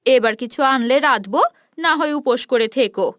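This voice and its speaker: A woman's voice: on the high side, fairly quick, no echo, studio quality, lightly acted. A woman voicing a nagging, exasperated village housewife and mother in a cartoon fairy tale, scolding and complaining.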